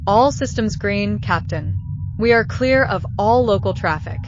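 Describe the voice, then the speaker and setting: A woman's voice: medium pitch, expressive, a little slow, close to an everyday voice. Muffled, dutiful female helm officer, acted. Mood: dutiful, businesslike. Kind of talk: science fiction audio drama acting. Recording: dull band-limited sound, clearly noisy ambience.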